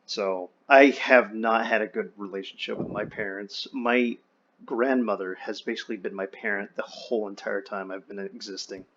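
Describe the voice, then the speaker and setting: A man's voice: lowish voice, fairly even intonation, normal speed, fairly bright tone, a little hiss or hum. A young man casually telling personal stories in a candid, wry, slangy and profane way over an online voice chat. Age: young adult. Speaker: friend telling his life story. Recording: dull lo-fi voice chat.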